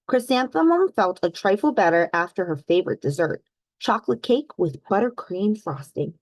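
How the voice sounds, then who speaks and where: Expressive woman reading a children's storybook, dry sound. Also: mid-range voice, no room sound, rough recording. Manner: expressive, deliberate, addresses listeners as friends. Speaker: read-aloud host for children. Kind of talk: storybook read-aloud with questions to young listeners.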